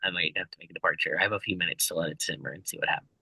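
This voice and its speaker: A man co-hosting a podcast, talking quietly from a hospital call room. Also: low voice, fairly even intonation, very fast, gravelly, slightly rough, dry recording, low-quality recording. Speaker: podcast co-host, medical worker. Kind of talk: casual podcast chat.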